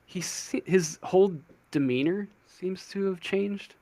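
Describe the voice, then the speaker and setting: Slow, halting man running a game. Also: high voice, warm tone, dry recording. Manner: halting, searching for words. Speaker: game master. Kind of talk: improvised tabletop game narration.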